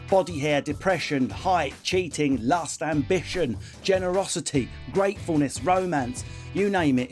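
A man's voice: a little high, a little mellow. Cheeky man hosting a podcast. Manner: cheeky, playful, jokey asides. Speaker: podcast host. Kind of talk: comic podcast chat.

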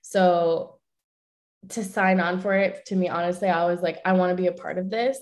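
A woman's voice: on the low side, steady tone, a little mellow. A young woman with a French accent and French as her first language, an actress talking candidly and swearing freely in a casual interview. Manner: candid, swears freely, talks in quick bursts. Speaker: voice actress and social media manager.

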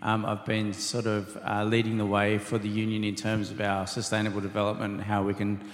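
A man's voice: lowish voice, completely flat delivery, fairly quick. A man, introducing himself as an operations manager on a panel. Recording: very echoey hall.

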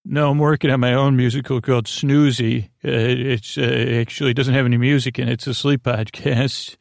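A man hosting a podcast with a meandering, halting bedtime monologue, often losing his thread as he goes. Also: medium pitch, creaky, no echo, studio quality. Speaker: podcast host. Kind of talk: rambling, improvised bedtime monologue.

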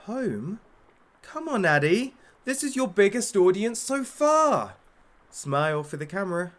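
A man's voice: high voice, big pitch swings, measured pace, a bit bright, heavily acted. Actor as a sneering, theatrical, swooping male captor. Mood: mocking. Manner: mocking, sarcastic, pompous. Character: menacing, sneering, theatrical captor interrogating a young influencer. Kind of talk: audio drama villain monologue.

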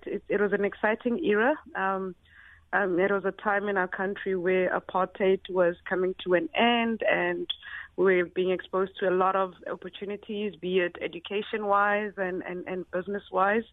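A woman from South Africa in her forties, an entrepreneur speaking in a measured, thoughtful way with frequent pauses. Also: mid-range voice, steady tone, no echo. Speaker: entrepreneur and company founder. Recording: tinny phone line.

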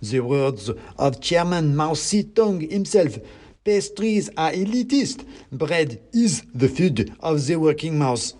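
A fanatical little revolutionary mouse from a storybook, put on by a male narrator, declaiming in slogans. The pitch is raised and each phrase is drawn out slowly and pompously. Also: sing-song, a little mellow, full character voice. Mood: dogmatic. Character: fanatical revolutionary committee mouse in a red sock hat.

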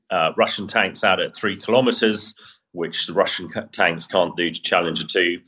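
A British man with a low, bright and forceful voice gives spoken military analysis. He explains things step by step in a measured way and offers his own opinions as a podcast commentator.

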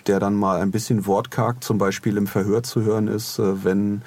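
A man with a low, quite husky voice chats casually as a podcast host. His tone is dark and soft, and he rambles along haltingly. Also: flat delivery.

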